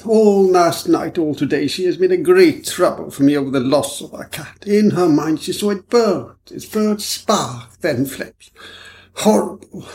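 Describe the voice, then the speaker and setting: A man puts on a rattled foreign gentleman in a storybook mystery, his voice pitched up high and slowed into drawn-out, halting pleas. He sounds shaken and eager to please. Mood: pleading. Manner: pleading, halting, emphatic. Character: nervous foreign man, dazed and jittery.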